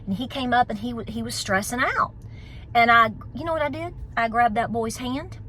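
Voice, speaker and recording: High, bright Appalachian Southern woman. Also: moderate pace, a little hiss or hum, rough recording. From United States. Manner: emphatic, exhorting, folksy. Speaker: homesteading vlogger. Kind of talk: casual motivational vlog talk.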